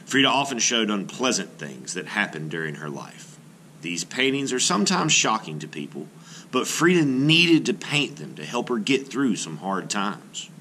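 A middle-aged man reading a children's nonfiction book aloud as an art teacher, in a clear, measured, expressive way. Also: on the low side, a little hiss or hum.

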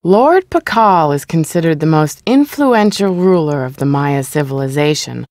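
A composed female exhibit narrator in a video game. Her voice is very low and dry. She reads educational text in a measured, formal way. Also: expressive, a little mellow, no echo.

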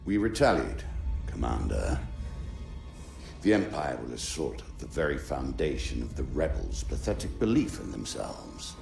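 A stern older military commander speaks in an extremely low, gravelly voice. He is formal and measured, a cold video game villain in an echoey room with music behind. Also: big pitch swings, a little mellow, exaggerated voice. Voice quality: deep, gravelly. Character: stern older male imperial admiral, coldly authoritative father. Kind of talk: cinematic video game acting.

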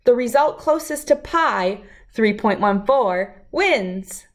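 A middle-aged woman reading a rhyming picture book aloud to children in an expressive, deliberate, lilting way. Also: fairly high pitch. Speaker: children's read-aloud host.

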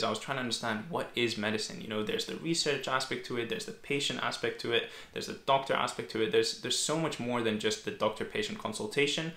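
Bright-voiced young man, echoey room. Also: medium pitch. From Greece. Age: 20s. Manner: chatty, reads out viewer questions. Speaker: medical student YouTuber. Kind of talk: casual Q&A vlog. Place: small bedroom home office, indoors. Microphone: fixed camera at desk.

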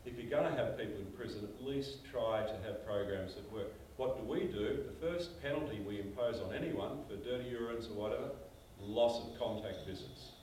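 A man's voice: fairly low pitch, normal speed, few pauses, a little mellow, a little hiss or hum. An older Australian man speaking as a panelist, telling stories emphatically in a panel discussion. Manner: emphatic storyteller, gestures while talking. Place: small meeting room. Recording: echoey room from far off.

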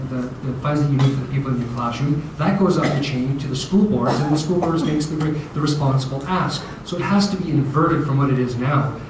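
A man in his thirties argues his party's view in a fluent, persuasive way. His voice carries from across a large hall with heavy echo, and room noise is clear behind him. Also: mid-range voice. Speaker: politician on a panel. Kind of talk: panel debate answer. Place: large hotel meeting room. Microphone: handheld mic. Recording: far-off echoey hall with noise.

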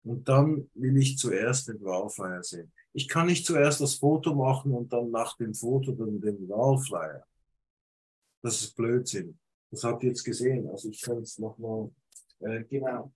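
Guy with a cold on zoom, husky, blunt, lots of uhs. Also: medium pitch, rough recording. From Switzerland. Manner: blunt, critiquing, hesitant with many fillers. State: has a cold. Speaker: photographer giving feedback. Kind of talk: casual video call discussion. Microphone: video call.

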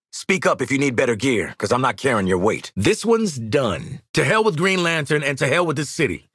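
An actor playing a tough, cocky, wry mercenary sniper in a video game, with streetwise, slangy, profane swagger. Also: male voice, mid-range voice, fairly bright tone, slightly rough, no room sound, studio quality, full character voice. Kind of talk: short video game combat and banter lines.

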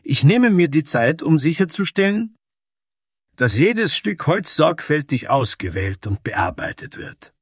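A man voicing a proud, earnest dragonkin carpenter in a fantasy audio story, speaking deliberately. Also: on the high side, big pitch swings, slightly rough, no room sound, clean studio sound, voice pushed up, full character voice. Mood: proud, helpful.

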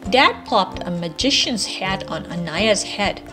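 A woman in her thirties reading a children's story aloud in an expressive manner meant for young listeners. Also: medium pitch, a little slow, music in the background. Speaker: storybook reader, parent.

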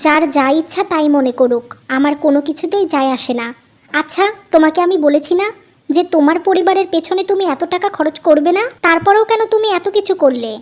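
A woman's voice: high-pitched, a little fast, natural voice. A woman voicing a selfish, resentful young wife in a cartoon, curt and accusing. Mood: angry, defensive. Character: resentful, selfish young wife, sharp-tongued with her family.

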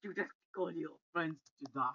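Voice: medium pitch, a little fast, pushed and bright, no room sound. Friend on a call, teasing and blunt, muffled dry line. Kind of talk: casual teasing chat on a voice call.

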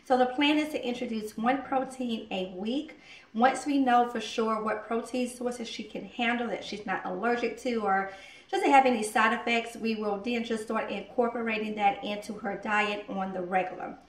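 A woman in her thirties chatting casually in her home kitchen as she walks through a cooking vlog, relaxed and laughing at herself. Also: fairly high pitch, moderate pace, recorded from a distance. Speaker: vlogger. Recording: echoey kitchen, voice from off-mic.

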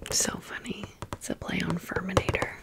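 A woman doing a chatty, rambling ASMR storytime in a breathy whisper with some creak and a wry manner. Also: moderate pace. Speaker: ASMR host.

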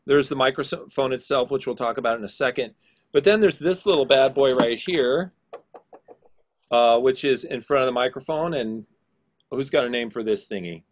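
Man teaching an online class. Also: moderate pace. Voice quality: low voice. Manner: casual, explaining with many fillers. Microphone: video call.

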